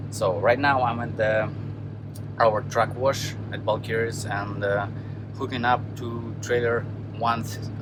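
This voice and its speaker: Russian-accented man, halting, loud truck noise. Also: lowish voice. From Kazakhstan. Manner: halting, searching for words in a second language. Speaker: truck driver vlogger. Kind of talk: casual vlog narration. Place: at a truck yard and in a truck. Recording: loud vehicle and outdoor noise.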